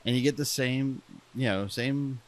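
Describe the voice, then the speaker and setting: A man's voice: medium pitch, unhurried. A young man chatting casually as a podcast co-host, rambling and self-deprecating with frequent restarts.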